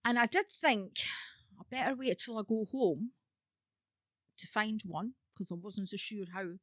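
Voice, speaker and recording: Bright-voiced woman in her fifties. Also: mid-range voice, a little slow, no room sound, studio quality. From Scotland. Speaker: podcast guest. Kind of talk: casual interview chat.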